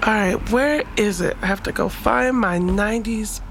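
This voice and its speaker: A woman acting in an audio drama as a sassy, guarded but soft-hearted young woman, with slangy, teasing, expressive delivery. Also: mid-range voice, moderate pace, slight background noise, lightly acted. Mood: exasperated.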